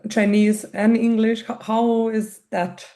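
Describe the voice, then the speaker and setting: A woman's voice: on the high side, level tone, slow, dark tone. A woman hosting an interview, asking questions and encouraging her guest with frequent affirmations. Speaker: podcast host. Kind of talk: casual interview conversation.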